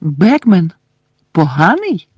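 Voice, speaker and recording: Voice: medium pitch, wildly swooping pitch, slow pace, darkish tone, husky, no echo, voice pushed up, exaggerated voice. A reader voicing a friendly teenage boy wizard who asks light teasing questions.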